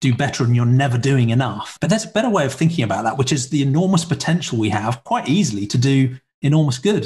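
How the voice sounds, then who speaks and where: A man's voice: mid-range voice, a little mellow. A man in his forties hosting a podcast interview over a video call from a room at home, earnest and encouraging. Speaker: podcast host. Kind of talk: interview conversation. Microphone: webcam on video call.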